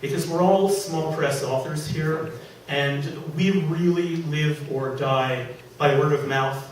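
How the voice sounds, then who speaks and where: A man reading his own comic story to a live audience, animated and exclaiming, with digressive asides. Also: a little high, slow pace. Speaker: author reading own fiction. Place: public reading event in a venue. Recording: echoey room from far off, faint noise.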